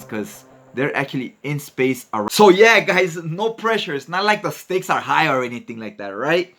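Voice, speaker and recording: A man narrates a comic book story as its host. He talks informally, with slang and casual commentary, like chatting with friends, in a medium-pitched voice. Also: a bit bright.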